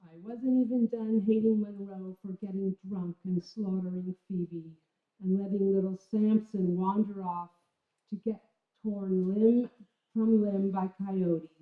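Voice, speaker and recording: A woman gives an expressive, deliberately paced literary reading of a memoir at a live stage event. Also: mid-range voice. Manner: expressive storytelling with deliberate pauses and emphasis. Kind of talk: dramatic literary reading before a live audience. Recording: roomy, thin phone-like sound of live stage reading.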